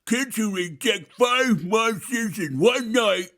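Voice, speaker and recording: Woman voicing a big scruffy slobbering boy monster, gravelly. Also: on the high side, animated, takes it slowly, a bit bright, heavily acted. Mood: whiny, offended. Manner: slurping, whining.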